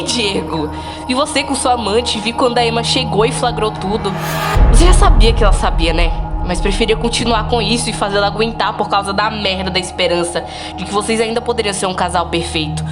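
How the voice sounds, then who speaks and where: A woman's voice: mid-range voice, close to an everyday voice. A woman playing a vengeful, obsessive female stalker in an amateur audio drama, bitter, accusing and scornful. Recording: loud music under the voice.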